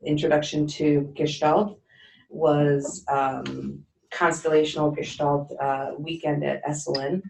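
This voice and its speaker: A woman's voice: deep voice, level tone, takes it slowly, vocal fry, darkish tone. A woman from Chicago hesitantly introducing herself to a training group, with lots of ums and easy laughs. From Chicago, USA. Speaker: training participant. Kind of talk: casual self-introduction. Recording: echoey room, distant mic.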